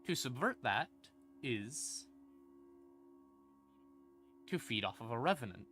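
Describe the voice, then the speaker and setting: A man explaining game lore on a casual livestream, conversational and playful, with dramatic pauses and asides. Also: mid-range voice, sharp tone. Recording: ambient music behind the voice. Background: creepy ambient music.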